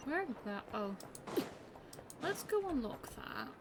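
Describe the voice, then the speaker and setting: A woman's voice: high voice, sing-song, takes it slowly, very noisy. A woman live streamer giving casual gameplay commentary, often muttering to herself. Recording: loud game music behind voice. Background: game music.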